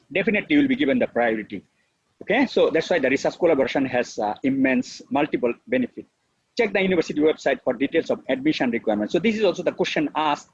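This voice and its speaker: A man's voice: fairly high pitch, slightly rough, dry recording. A middle-aged man from Bangladesh with a Bangladeshi accent, giving an earnest, unscripted webinar lecture full of fillers. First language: Bengali. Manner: earnest, repetitive, with frequent fillers. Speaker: professor giving a webinar talk. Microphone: video call. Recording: dull, lo-fi online call audio.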